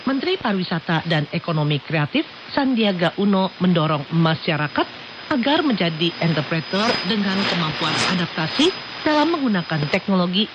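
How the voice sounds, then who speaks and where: Low, lively woman on muffled shortwave. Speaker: radio co-host and news reader. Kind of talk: scripted radio news reading. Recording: muffled, noisy shortwave radio broadcast.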